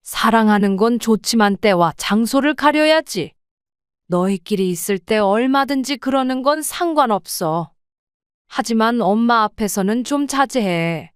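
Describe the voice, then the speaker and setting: A woman's voice: a little high, expressive, a little slow, smooth, no room sound, studio quality. A woman voicing a stern, proper mother in her seventies who scolds firmly in an audio drama. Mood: reproachful. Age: older. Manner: firm, reproachful scolding.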